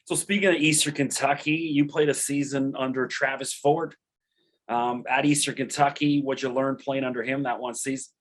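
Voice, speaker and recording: Mid-pitched male interviewer, casual and chatty, asking rambling questions. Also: little pitch movement, moderate pace, a bit bright. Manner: chatty, loose questions with fillers.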